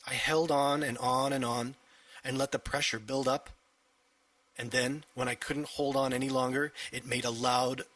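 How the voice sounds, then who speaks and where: A man reading a children's audiobook as a cheeky, excitable young boy, with animated, emphatic delivery. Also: medium pitch, normal speed, dry recording, far from the mic, natural voice. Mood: eager. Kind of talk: first-person children's audiobook storytelling.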